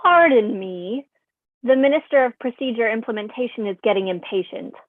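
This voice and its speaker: A woman's voice: a little high, animated, normal speed, dry recording, narrow, tinny audio. A woman playing a formal, precise, literal artificial intelligence assistant in a staged play reading. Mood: formal, matter-of-fact.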